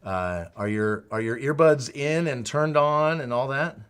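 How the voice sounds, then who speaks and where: A man with a loud, booming voice, chatting and joking casually as he hosts a live product demo. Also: a little slow, smooth. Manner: chatty, joking back and forth. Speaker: host of a product show. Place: live show set.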